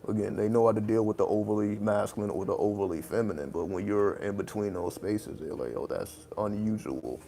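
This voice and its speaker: A man with a deep voice talking in a laid-back, casual monologue, loose with slang and swearing. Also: vocal fry, dark tone. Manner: laid-back, profane, streetwise slang, drawn-out phrasing. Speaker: channel host.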